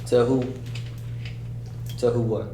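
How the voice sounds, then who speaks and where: A man's voice: medium pitch, fairly even intonation, takes it slowly, close to an everyday voice. A man playing a guarded, dismissive young man with slangy, curt speech in a table read. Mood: casual. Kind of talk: scripted dialogue read aloud. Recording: echoey room, distant mic, clear noise.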